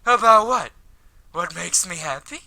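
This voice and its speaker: A man voicing an awkward, lovestruck high school boy, wry and self-deprecating, in a visual novel. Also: expressive, a little slow, gravelly, bright, forceful tone, heavily acted. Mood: flustered. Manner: wry, flustered. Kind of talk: visual novel character read aloud.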